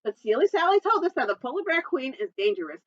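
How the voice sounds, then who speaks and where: A woman voicing an excitable little male puffin in a children's picture book, exclaiming. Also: sits high, quick pace, dry recording, lo-fi sound, voice pushed up. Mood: excited.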